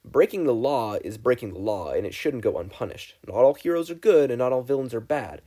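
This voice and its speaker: A man's voice: medium pitch, animated, a little fast, dark tone, lightly acted. A man voicing a blunt, stoic teenage boy student in a story reading, formal and firm. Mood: firm, disapproving.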